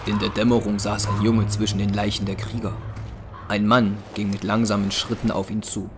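A man's voice: on the low side, flat delivery, normal speed, noisy background. A man narrating an audio drama in a scripted, dramatic storytelling style. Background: music.

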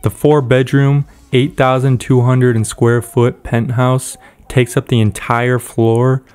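A man giving a steady, scripted voice-over narration for a celebrity house tour. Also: mid-range voice, takes it slowly, clear, resonant tone.

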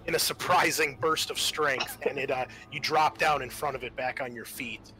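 A man narrating a tabletop roleplaying game as game master, casually, pausing as he goes and reading out rules. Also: mid-range voice, sharp tone, a little hiss or hum, rough recording.

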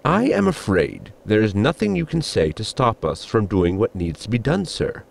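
A man voices a proper, dutiful starship crewman in an audio sci-fi comedy. The voice is husky and full of fry, with lively pitch movement and polite, exact phrasing. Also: heavily acted. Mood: polite, resolute. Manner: polite, precise, deliberate wording. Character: formal, dutiful male spaceship crewman, calm under fire. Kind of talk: science fiction comedy audio drama acting.

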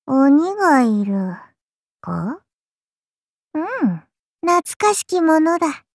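A woman's voice: high-pitched, very animated, extremely slow, no room sound, studio quality, heavily acted. A woman playing a childlike, playful serpent-god oni in an anime game, speaking in a haughty, archaic lordly manner with indulgent teasing. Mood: curious, puzzled. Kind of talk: anime game character voice lines.

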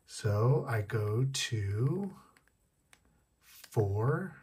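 Slightly husky man demonstrating gadgets. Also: fairly low pitch, expressive, drawn-out words, darkish tone. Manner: step-by-step explaining, repeating key points. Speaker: tech tutorial host. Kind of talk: casual hands-on demonstration. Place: indoors at a wooden desk.

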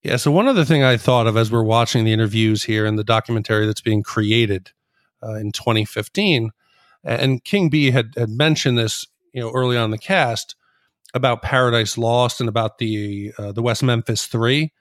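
Man, medium pitch, podcast host, explanatory recap talk. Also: moderate pace, no echo, studio quality.

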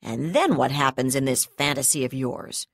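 A seething female brigadier , as a woman reads her, barks and sneers in a harsh, hard-edged voice. She swears freely and pushes her voice up loud and high with rage. The audiobook recording is dry and close. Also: very animated, unhurried, husky, no room sound, heavily acted. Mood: furious. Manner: shouting, snarling, swearing.

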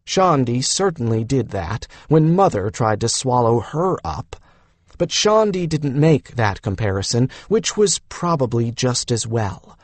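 A man's voice: medium pitch, sing-song, measured pace, no room sound. A man reading a fantasy novel aloud as a scripted audiobook narration.

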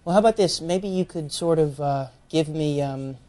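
A man's voice: on the high side, moderate pace, dark tone. A middle-aged man hosting a campus TV interview show, asking questions in a playful, joking way from a studio desk. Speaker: talk show host. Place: small TV studio set, seated at a desk.

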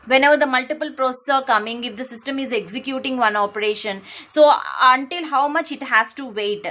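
A woman with an Indian English accent giving a whiteboard lecture, repeating phrases and pausing on fillers as she draws. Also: high voice, fairly bright tone. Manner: many fillers and restarts, repeats phrases to stress points. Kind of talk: whiteboard lecture, explaining while drawing. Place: indoors at a whiteboard. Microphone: close mic, speaker off camera, only hands seen writing.

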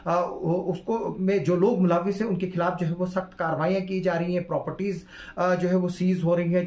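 A man's voice: high voice, a little mellow. Hesitant man in an echoey room. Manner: hesitant, heavy fillers, earnest. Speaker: news commentator. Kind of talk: solo news commentary to camera. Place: small indoor room with curtain backdrop.

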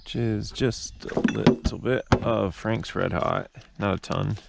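A man in his thirties casually narrating a how-to at a wooded campsite, chatty and relaxed, talking to viewers as guys. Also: lowish voice, takes it slowly, creaky, heavy background noise. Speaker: fishing and camping vlogger. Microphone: wearable action camera.

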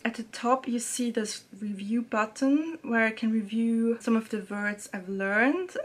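A young woman talking casually and chattily from a small room at home, reviewing a language app and filling her sentences with little fillers. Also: a little high. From Serbia. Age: young adult. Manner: chatty, relaxed, many fillers. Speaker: language learning YouTuber.

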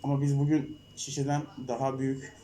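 A man's voice: medium pitch, monotone, a little fast, mellow, a little hiss or hum, poor audio. A man at home, patiently coaxing his daughter through an experiment with leading questions. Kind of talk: casual home video chat. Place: home, indoors.

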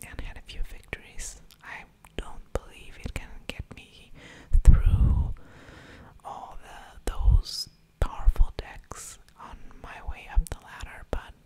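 A woman hosting a gaming ASMR session, whispering softly with mouth sounds as she comments on a card game. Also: rough recording.

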